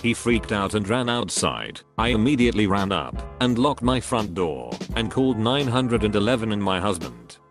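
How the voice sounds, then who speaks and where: A man narrating first-person stories in a steady, even read-aloud delivery. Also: on the low side, normal speed, few pauses, music in the background. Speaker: narrator reading online stories.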